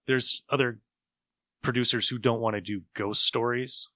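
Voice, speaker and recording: Bright-voiced man, rambling enthusiast. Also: mid-range voice, fairly even intonation, moderate pace, no room sound, clean studio sound. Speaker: podcast host. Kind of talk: casual chat reviewing old radio shows.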